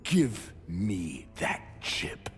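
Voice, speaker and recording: An actor playing a stubborn, pompous starship captain in a video game, barking clipped orders in a commanding, condescending manner. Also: male voice, deep voice, sing-song, very slow, big-room sound, soft background music, heavily acted. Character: stern, stubborn male starship captain, pompous and quick-tempered. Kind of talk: video game cutscene acting.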